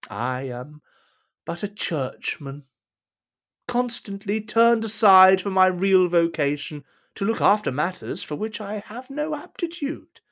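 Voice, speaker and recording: An actor playing a scheming, falsely humble cardinal in an audio drama, with a theatrical, unctuous manner. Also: male voice, high voice, very animated, measured pace, a bit bright, exaggerated voice. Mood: mock humble.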